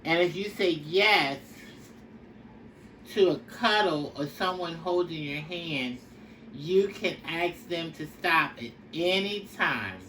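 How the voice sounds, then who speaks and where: Female storybook reader, instructive and very measured, slightly noisy recording. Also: deep voice, fairly bright tone. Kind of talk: read-aloud of a children's picture book. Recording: distant mic with faint background noise.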